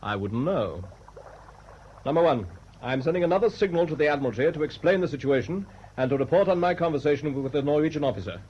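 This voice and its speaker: A man acting in a radio drama as a calm, decisive British naval captain with a clipped, formal, authoritative manner. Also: a little high, quick pace, husky. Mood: businesslike. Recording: dull, noisy old radio broadcast.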